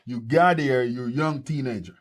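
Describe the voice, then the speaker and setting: A man's voice: medium pitch, moderate pace. Upbeat man hosting a podcast. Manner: energetic, repeats affirmations. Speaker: podcast host. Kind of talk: casual chat. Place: podcast studio.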